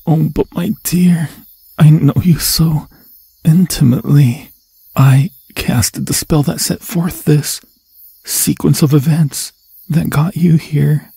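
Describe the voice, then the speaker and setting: A man voicing an obsessed undead forest demon in an ASMR fantasy roleplay, seductive and menacing, with a husky, breathy voice that often drops to a whisper and slow, theatrical, intimate phrasing. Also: a little high, fairly even intonation, warm tone, dry recording, full character voice. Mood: tender, menacing, then ominous, possessive. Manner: slow, theatrical, intimate, crooning endearments in archaic formal phrasing.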